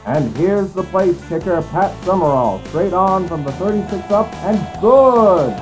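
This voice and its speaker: An actor plays a male 1950s football announcer with a high-pitched voice. He calls plays in a brisk, declamatory, dramatic old-time broadcast style over clearly audible music. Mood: excited. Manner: declamatory, building excitement on plays. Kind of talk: old-time sports commentary.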